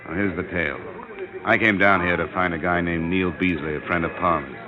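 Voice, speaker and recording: Actor as a very deep-voiced hard-boiled investigator. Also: male voice, fairly even intonation, fairly quick, crowd noise, close to an everyday voice. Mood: grim. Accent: American. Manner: clipped, hard-boiled, blunt. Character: tough, cynical male freelance insurance investigator. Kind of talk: 1950s American radio crime drama acting. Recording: dull vintage radio recording.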